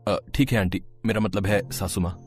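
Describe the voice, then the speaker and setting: A man's voice: on the low side, steady tone, fairly quick, a little mellow, slightly rough, no echo, soft background music. A man voicing a polite, helpful young son-in-law in a courteous, earnest way in an audio story. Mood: hesitant.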